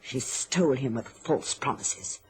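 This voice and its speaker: A woman's voice: rumbling low, unhurried, darkish tone, slight background noise. An actress playing a bitter, scheming, self-pitying middle-aged mother in an old radio drama, with an indignant, martyred, theatrical manner. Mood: bitter, self-justifying.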